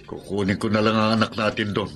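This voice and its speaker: Man acting an earnest, bright-voiced father. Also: fairly low pitch, little pitch movement, quick pace, slight background noise, natural voice. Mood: resolved. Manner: earnest, pleading. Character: protective, remorseful father pleading for his daughter. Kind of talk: Filipino radio drama acting.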